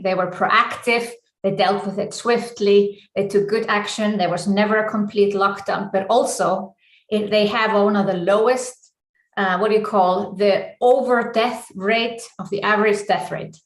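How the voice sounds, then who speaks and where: A woman with an Icelandic accent leads a live online coaching presentation, speaking deliberately in short, emphatic phrases. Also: mid-range voice. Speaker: business coach and session host. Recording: echoey room.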